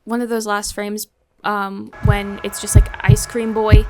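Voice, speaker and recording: A woman co-hosting a casual reaction chat, with dry, quick jokes. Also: mid-range voice, flat delivery, darkish tone, slight background noise. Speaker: co-host.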